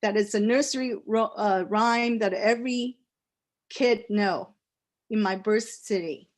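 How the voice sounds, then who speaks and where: Slow-reading poet, woman with a Chinese accent. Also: mid-range voice. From China. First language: Chinese dialect. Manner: slow, deliberate phrasing with pauses. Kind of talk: poetry reading. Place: live reading event.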